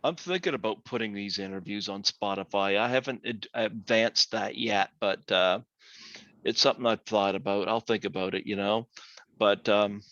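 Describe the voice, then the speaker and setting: A man's voice: fairly low pitch, moderate pace, fairly bright tone, no room sound. A man from Canada hosting a podcast, chatting casually with a guest and hesitating with many fillers. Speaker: podcast host. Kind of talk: casual interview chat. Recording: dry, dull lo-fi sound.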